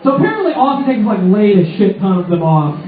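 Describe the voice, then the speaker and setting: A man's voice: high voice, very animated, quick pace. A young man doing a rambling, sarcastic stand-up comedy set in a small pub, full of "like" fillers, with the crowd laughing. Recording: echoey lo-fi room recording from far off.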